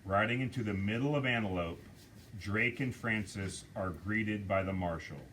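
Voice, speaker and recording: A man reading the stage directions aloud at a script table read, measured and deliberate. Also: fairly low pitch, a bit bright, slight background noise.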